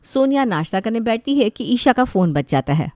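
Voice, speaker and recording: A woman's voice: on the high side, big pitch swings, a little fast, warm tone, no echo, clean studio sound. A woman telling a scripted family moral story as its narrator.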